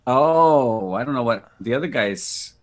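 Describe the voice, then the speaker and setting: A man's voice: mid-range voice, animated, measured pace. A man talking casually through a technical demo on a video call, using earbuds. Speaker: co-host demonstrating video meeting features.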